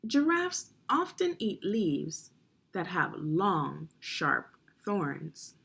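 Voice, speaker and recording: Lively, husky woman reading aloud to children. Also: lowish voice, drawn-out words. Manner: instructive, addresses young listeners with questions. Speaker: read-along narrator and reading teacher. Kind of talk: children's nonfiction read-aloud with guiding questions.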